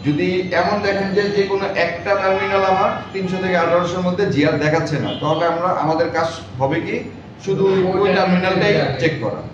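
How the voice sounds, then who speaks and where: A man's voice: high voice, fairly even intonation, fast. A man lecturing a class as a repair instructor, repeating points and often quizzing his listeners. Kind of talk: classroom lecture with check questions. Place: echoey indoor classroom. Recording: very echoey room, far mic, clear noise, dull.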